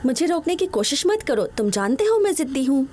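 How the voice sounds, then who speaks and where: A woman's voice: high voice, very animated, a little fast. A woman dubbing a brave, stubborn, kind young royal girl in a Hindi cartoon, speaking earnestly and emphatically. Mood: determined. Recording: music behind the voice.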